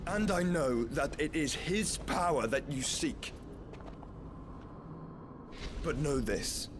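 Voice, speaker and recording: A man's voice: fairly high pitch, animated, a little slow, noisy background. An actor playing a resolute young man defending his brother, earnest and defiant, in a video game cutscene. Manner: earnest, defiant, rising to shouts.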